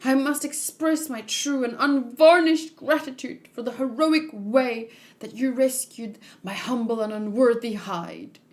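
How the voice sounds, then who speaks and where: A woman's voice: a little high, steady tone, a little slow, darkish tone, close to an everyday voice. A woman voicing a humble old male prisoner from a manga, effusively grateful, with formal, flowery and timid speech. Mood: earnest gratitude.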